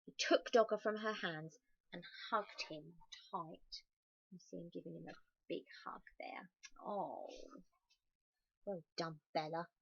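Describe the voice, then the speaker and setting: Slow-paced woman reading a children's storybook. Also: on the low side, creaky, no echo, muffled recording. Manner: animated, exclaiming, addressing young listeners. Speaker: storybook reader. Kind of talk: expressive read-aloud of a children's picture book with chatty asides.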